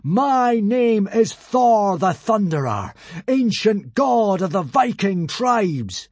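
Boy god of thunder, booming, commanding, wrathful, high and husky, drawn-out storybook proclamations. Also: big pitch swings, darkish tone, dry recording, exaggerated voice. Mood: thunderous, proud.

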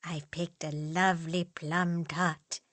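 A woman's voice: sits low, little pitch movement, slow, fairly bright tone, dry recording, pitched below the performer's own voice. A woman voicing a doting, fussing older German housekeeper. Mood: warm fussing.

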